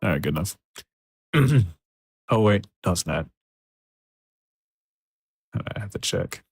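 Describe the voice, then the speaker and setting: A man mixing music on a livestream, thinking aloud in a soft, relaxed, casual way. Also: on the low side, takes it slowly, creaky, breathy edge. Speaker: music producer streaming a mixing session. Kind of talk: casual livestream commentary while working. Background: faint music from the mix being worked on.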